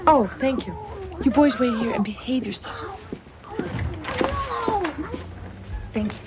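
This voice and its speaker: A woman's voice: a little high, normal speed, natural voice. An actress playing a frazzled suburban wife and mother who grows indignant, in a naturalistic television drama. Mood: polite, eager.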